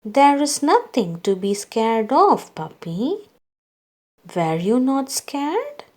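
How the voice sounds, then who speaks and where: Female read-aloud storyteller for small children. Very unhurried pacing, animated intonation, scripted character dialogue. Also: high voice, soft background music. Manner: slow, deliberate delivery for young children.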